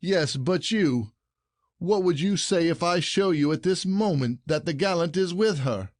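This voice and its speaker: An actor playing an aggrieved, scheming husband in a classic stage farce, declaiming formally and indignantly. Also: male voice, fairly high pitch, animated, a little slow, no room sound, studio quality. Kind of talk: classic comedy audio play acting.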